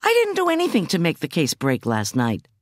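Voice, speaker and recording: Reader doing a husky, emotional woman prosecutor. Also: fairly low pitch, wildly swooping pitch, a little fast, no room sound, studio quality, pitched above the performer's own voice. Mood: defensive. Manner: emotional, protesting. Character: strong-willed, weary, defensive woman prosecutor. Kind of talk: audiobook character dialogue.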